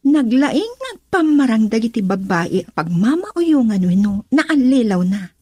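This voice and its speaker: An actress playing an outspoken, emotional wife and daughter in a radio drama, husky and insistent. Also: female voice, a little high, very animated, unhurried, darkish tone, exaggerated voice. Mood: suspicious. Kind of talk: Ilocano radio drama acting.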